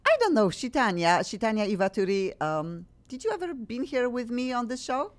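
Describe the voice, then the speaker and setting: A woman's voice: on the high side, very animated, a little fast. A woman from Poland with a Polish accent, hosting a radio talk show in bubbly, gushing, loosely worded English. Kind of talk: casual studio talk show.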